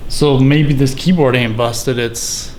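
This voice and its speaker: A man's voice: medium pitch, fairly even intonation, slow, a little mellow. A man chatting casually and hands-on while he demonstrates a repair. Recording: noisy room with background sounds.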